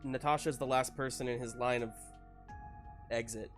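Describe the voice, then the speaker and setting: A man running a tabletop roleplaying game as game master, narrating off the cuff in a halting, informal way. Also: medium pitch, fairly even intonation, faint music behind.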